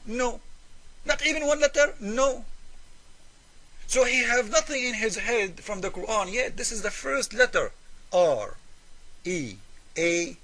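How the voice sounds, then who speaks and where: A man's voice: high-pitched, sharp tone, low-quality recording. A man whose first language is Arabic, speaking English as a second language while giving argumentative commentary. He talks emphatically and mockingly, firing rhetorical questions at the viewer. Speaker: religious debate commentator.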